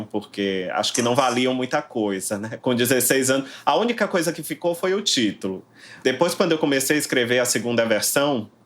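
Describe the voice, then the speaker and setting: A man in his forties from Salvador with a Bahian accent, answering interview questions in a reflective way, often ending thoughts with tag questions. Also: mid-range voice. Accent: Bahian Portuguese. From Brazil, Salvador. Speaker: interviewed novelist.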